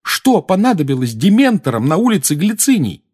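A teenage boy voiced by a grown man, pitched up and lively. He sounds urgent, indignant and under strain, as a young storybook character. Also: brisk, breathy edge, heavily acted. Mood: defensive. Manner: urgent, questioning.

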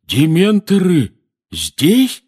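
A man's voice: a little high, animated, takes it slowly, voice pushed up. A slovenly small-time male crook played by a male narrator, drawling and stammering in a raised voice. His talk is colloquial and shifty, a rogue from a storybook. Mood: horrified.

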